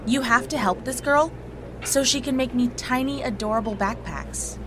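A woman playing an excitable, friendly young woman in an audio drama, gushing in a bubbly way. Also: a little high, normal speed, noisy background, natural voice. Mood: delighted.

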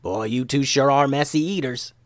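A man's voice: medium pitch, normal speed, dry recording, clean studio sound, pitched above the performer's own voice, close to an everyday voice. Man putting on a drawling, folksy ninja master. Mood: amused, puzzled. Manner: drawling, casual.

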